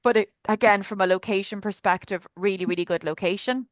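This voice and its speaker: A woman's voice: mid-range voice, brisk, no echo. An Irish woman chattily presenting a trip on a video call webinar, enthusiastic with lots of fillers. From Ireland. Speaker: tour guide presenting a trip.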